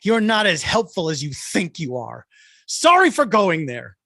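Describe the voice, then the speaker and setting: An actor voicing an exasperated, melodramatic animal in an animated comedy, with a gravelly voice. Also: mid-range voice, a little slow, dry recording, exaggerated voice. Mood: bitter, accusing. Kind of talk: table read of animated comedy script.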